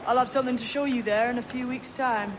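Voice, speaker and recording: A shrewd young pirate in disguise, acted by a woman, speaks in a high, boyish register. The delivery is guarded yet earnest and colloquial, in the manner of a dramatic video game, with faint environmental noise behind it. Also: steady tone, quick pace, lightly acted. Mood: guarded, brisk. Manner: plain-spoken, colloquial.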